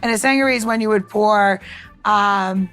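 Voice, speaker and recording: A woman's voice: medium pitch, moderate pace, a bit bright, clear, resonant tone, faint music behind. A woman casually talking through a cocktail recipe as co-host, chatty and rambling with playful asides. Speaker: co-host and bartender.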